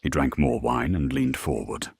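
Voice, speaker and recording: A man's voice: extremely low pitch, steady tone, normal speed, gravelly, darkish tone, breathy edge, no echo. A man narrating a scripted drama in a storytelling style.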